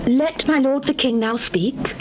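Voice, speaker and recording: A pleading widow addresses a king in formal, archaic language. Her voice is high and theatrical, the supplication of a mourner in a scripture drama. Faint noise sits behind the recording. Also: unhurried. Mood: earnest deference.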